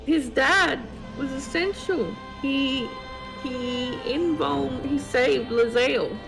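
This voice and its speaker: A woman's voice: high voice, slow, poor audio. A woman chatting casually and jokingly as a co-op gaming partner on a stream. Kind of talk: casual joking chat about a game. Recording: music clearly behind the voice. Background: music.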